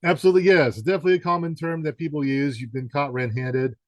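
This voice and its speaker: A man's voice: on the high side, fairly even intonation, quick pace, dry recording. Man interviewing in a dry, close sound. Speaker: podcast interviewer. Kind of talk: conversational album interview.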